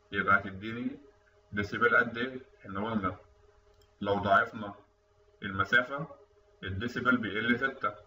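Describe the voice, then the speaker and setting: Fast-talking Egyptian man, echoey lecture audio. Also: on the low side, sharp tone. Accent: Egyptian Arabic. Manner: explanatory, conversational, quick. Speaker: instructor. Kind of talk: screen-recorded technical lecture. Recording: distant, dull, echoey room mic with faint noise.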